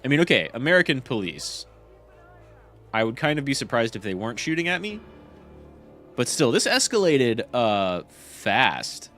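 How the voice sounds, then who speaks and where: A man's voice: medium pitch, big pitch swings, moderate pace, a bit bright, faint music behind. Stuffy-nosed male game streamer. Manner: swearing, incredulous and chatty. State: congested, stuffy nose. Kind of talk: casual game-stream commentary.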